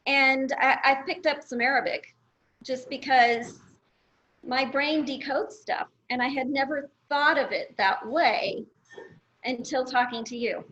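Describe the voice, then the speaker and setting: A woman in her fifties with a high voice talks freely about her own experiences as a guest on a podcast. Her recording sounds dull and slightly lo-fi. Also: measured pace, fairly bright tone. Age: 50s. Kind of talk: casual conversation.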